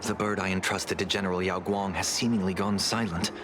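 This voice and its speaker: A man playing a composed, grave strategist in a fantasy video game, speaking in a measured, articulate way. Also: deep voice, little pitch movement, background noise, close to an everyday voice.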